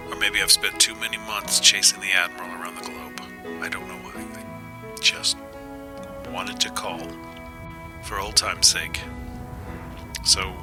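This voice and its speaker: A wistful, lonely agent talks in a low, weary voice in an audio drama. He is wry and low-key, with clear music underneath. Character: wistful, lonely male agent leaving a late-night message.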